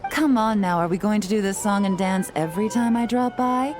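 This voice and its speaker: A woman's voice: medium pitch, brisk, over music, natural voice. A woman playing a shrewd government official in a video game, wry, blunt and guarded. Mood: wry, wary. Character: shrewd, dry-witted female government official.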